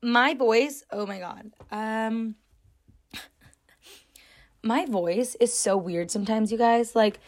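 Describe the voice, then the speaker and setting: A young woman with a raspy, somewhat deep voice hosting her own podcast, talking loudly and rambling with lots of fillers. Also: slow, pauses often. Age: young adult. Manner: chatty, loud, rambling with many fillers. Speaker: podcast host. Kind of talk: casual solo podcast talk.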